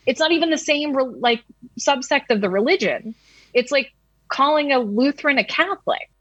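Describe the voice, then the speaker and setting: A woman's voice: high voice, lively intonation, moderate pace, a bit bright, no echo, muffled recording. A woman in her thirties from the United States, a news reporter speaking candidly and conversationally. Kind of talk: interview recollection.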